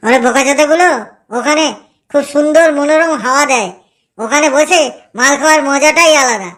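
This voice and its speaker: A woman's voice: high voice, a little fast, fairly bright tone. A woman voicing a cheeky, foul-mouthed young village man in a cartoon comedy, teasing his drinking friends. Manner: crude, teasing, eerie when telling ghost talk.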